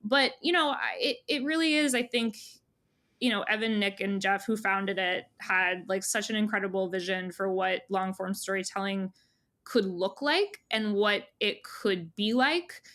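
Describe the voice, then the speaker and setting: A woman's voice: mid-range voice, a little slow, fairly bright tone. A woman speaking as an interview guest, casual and earnest, rambling and often correcting herself. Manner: rambling, earnest, many hedges and self-corrections. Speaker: magazine editor-in-chief, interview guest.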